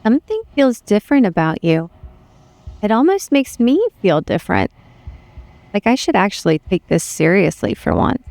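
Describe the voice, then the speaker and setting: A woman's voice: mid-range voice, huge pitch swings, normal speed, creaky, a little mellow, no room sound. A woman voicing a menacing, eager princess who taunts and relishes violence in a dramatic game script reading. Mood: intrigued.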